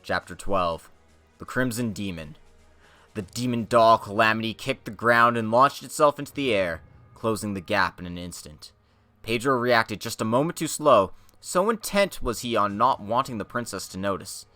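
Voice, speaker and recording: Male narrator for a dramatic fantasy reading, bright and forceful tone, mid pitch, faint music underneath. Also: animated, normal speed, dry recording.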